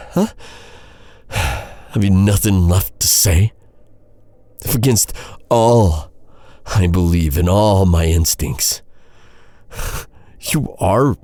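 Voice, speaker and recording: An actor playing an embittered, vengeful knight in a dark fantasy audio roleplay, brooding and intense, with halting dramatic delivery. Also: male voice, sits low, no echo, full character voice. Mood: anguished, accusing. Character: vengeful, embittered male knight interrogating his captive enemy.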